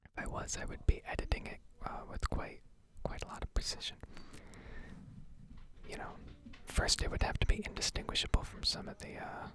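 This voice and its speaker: A woman whispering a rambling, improvised ASMR game commentary in a hesitant, unhurried way, with a breathy, creaky edge. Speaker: gaming ASMR creator.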